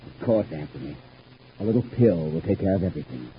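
A dull, hissy old radio drama recording. a disturbed, bitter man who suspects everyone, his pitch leaping about as he fires insistent questions. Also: lively intonation, quick pace. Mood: bitter, sardonic. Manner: agitated, probing with insistent repeated questions. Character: disturbed, suspicious, bitter man in a family feud. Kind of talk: old radio soap opera acting.

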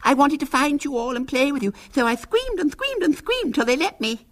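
A shrill little girl of six as put on by a male narrator, pitched very high with a lisp. She is sweetly wilful and insistent, pleading and threatening her way through a storybook tale. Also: expressive, fairly bright tone. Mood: gleeful. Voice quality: shrill, lisping. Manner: plaintive, insistent, drawn-out. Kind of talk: audiobook character voice.

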